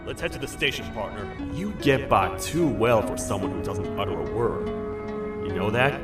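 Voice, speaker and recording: An actor playing a massive, gruff toad sheriff who talks like a stern frontier lawman. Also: on the low side, animated, moderate pace, natural voice. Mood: grim. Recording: echoey game audio from a distance, light music.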